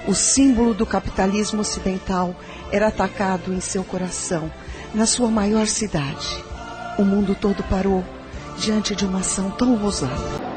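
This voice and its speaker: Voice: mid-range voice, fairly even intonation, normal speed. A narrator with a Brazilian accent delivering scripted, dramatic narration. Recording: clear music under the voice.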